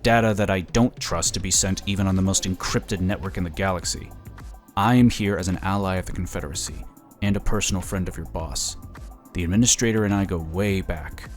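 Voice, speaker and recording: An actor playing a slick, smug lobbyist in a sci-fi audio drama, chatty and condescending. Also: male voice, sits low, brisk, soft background music, lightly acted. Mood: confiding, scheming. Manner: chatty, smooth-talking, condescending. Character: smug, slick, scheming male political lobbyist.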